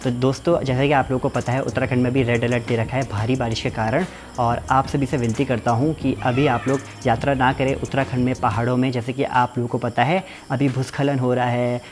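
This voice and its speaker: A man's voice: medium pitch, level tone. A young man from Uttarakhand, chatting informally as a vlogger in his village home. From Uttarakhand, India. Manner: quick, repetitive, informal, self-explaining. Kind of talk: casual family vlog chat.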